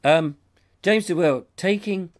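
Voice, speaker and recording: A man's voice: high-pitched, slow. A middle-aged English man hosting a live stream, chatty and rambling, his voice strained by a cold and fading out. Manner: rambling, chatty, reads comments aloud. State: has a cold, losing his voice. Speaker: show host. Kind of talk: live-stream chat reading viewer comments. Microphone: lapel mic.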